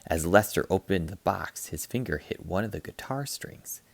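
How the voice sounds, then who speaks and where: A man reading a children's picture book aloud as a teacher, with animated, dramatic emphasis. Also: low voice, normal speed, vocal fry, no echo. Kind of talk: expressive children's read-aloud narration.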